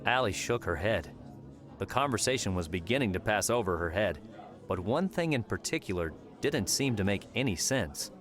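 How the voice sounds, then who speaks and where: A man narrating a fiction audiobook in a measured storytelling style. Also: on the low side, animated. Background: soft background music.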